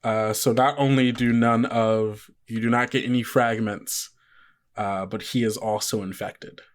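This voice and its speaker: A man working as game master, explaining rules and narrating scenes in an informal, halting way. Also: fairly low pitch, steady tone. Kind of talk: casual actual-play narration.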